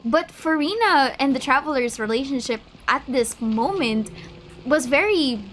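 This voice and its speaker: A woman streams a game and comments casually on it. Her voice is a little high, and she reasons aloud with reflective, halting phrasing. Quiet music plays behind her. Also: animated.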